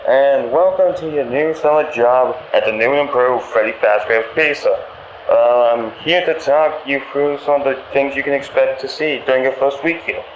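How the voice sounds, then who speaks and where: A man playing a friendly, nervous pizzeria employee in a game, leaving a hesitant, awkwardly upbeat training message over the phone. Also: mid-range voice. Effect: telephone voicemail. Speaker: company trainer for night guards. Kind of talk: rambling recorded phone message, game voice acting. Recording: muffled, roomy phone audio with faint noise.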